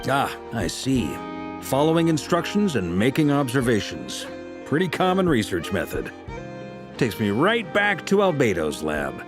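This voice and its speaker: A man's voice: mid-range voice, huge pitch swings, a little slow, over music. A man playing a jovial, easygoing veteran knight commander with a folksy, teasing manner in a video game. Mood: musing. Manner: folksy, jocular. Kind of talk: scripted video game dialogue.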